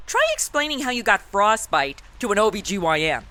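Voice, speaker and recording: Woman playing a bright, sarcastic wisecracking sidekick. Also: mid-range voice, very animated, a little fast. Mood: exasperated, sarcastic. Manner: sarcastic, exasperated, blunt. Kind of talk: comic audio drama acting.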